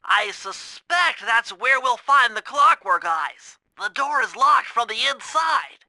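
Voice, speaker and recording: A brainy young cartoon turtle, with a very high, bright nasal voice. He lays out heist plans for a video game in an eager, precise and earnest way, sounding close and dry. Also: expressive, takes it slowly, no echo, exaggerated voice. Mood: brisk, instructing. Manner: precise, technical, eager mission briefing. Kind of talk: animated video game voice acting.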